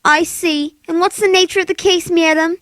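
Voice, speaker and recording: A woman's voice: very high-pitched, steady tone, moderate pace, fairly bright tone, dry recording, clean studio sound. An actress playing a polite, officious hospital switchboard clerk in a radio thriller, formal and detached. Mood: politely officious. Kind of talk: old-time radio thriller acting.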